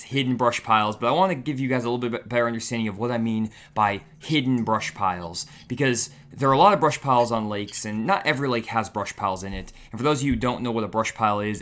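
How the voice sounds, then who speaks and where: A young man hosting a fishing livestream, chatty and upbeat, talking straight to viewers, his voice a little raspy and tired. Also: mid-range voice, pushed and bright. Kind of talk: casual livestream talk.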